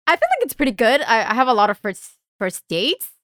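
A woman's voice: fairly high pitch, animated, fairly quick, a bit bright, no echo, clean studio sound. A woman talking casually as a podcast guest, informal, giggly and a little self-deprecating. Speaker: podcast guest and group member. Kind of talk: casual chat.

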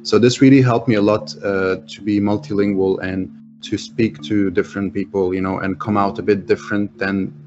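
Earnest Egyptian man, low voice. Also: little pitch movement, dull audio, music in the background. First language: French. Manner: earnest, halting with many restarts. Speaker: interview guest, business owner. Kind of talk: casual interview talk.

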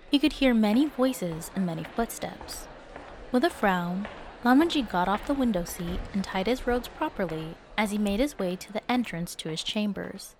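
A woman's voice: mid-range voice, a little mellow, no room sound. A woman narrating fan fiction as a dramatic audiobook.

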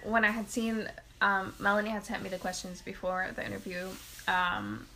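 A young woman from Canada, born in Quebec with French as her first language, chatting casually as an interview guest, animated, with many "like" fillers. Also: mid-range voice, normal speed, slight background noise, poor audio. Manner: animated storyteller, many "like" fillers, laughs often. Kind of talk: casual conversation.

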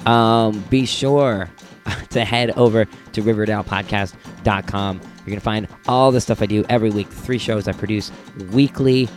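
An American man hosting a podcast, talking casually with a rough, under-the-weather voice. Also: lowish voice, fairly even intonation, normal speed, soft background music. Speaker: podcast host. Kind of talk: casual podcast outro talk.

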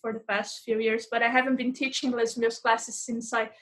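Brazilian woman, rusty halting English. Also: a little high, level tone, a bit bright. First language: Portuguese. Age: 30s. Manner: hesitant, repeats words while searching for English. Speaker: podcast guest, fitness instructor. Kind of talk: casual interview chat.

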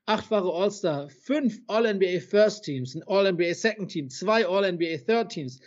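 A man's voice: high voice, expressive, measured pace, dull audio. A man in a casual podcast chat, passionately reeling off sports stats, swearing now and then for emphasis, with many fillers and tag questions. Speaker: podcast co-host. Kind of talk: casual basketball debate.

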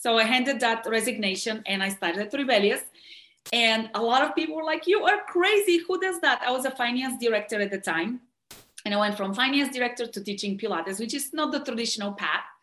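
Bulgarian-accented woman, lively and enthusiastic. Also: a little high, a little fast, reverberant. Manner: enthusiastic, laughs often. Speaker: guest, body-mind coach. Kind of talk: podcast interview.

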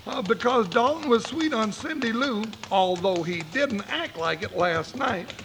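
A man's voice: expressive, unhurried. A man playing a folksy, blustering, loyal cowboy sidekick with an American accent in an old Western radio drama. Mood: folksy, puzzled. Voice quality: high-pitched, bright. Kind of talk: 1950s Western radio acting. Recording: old radio recording with faint noise.

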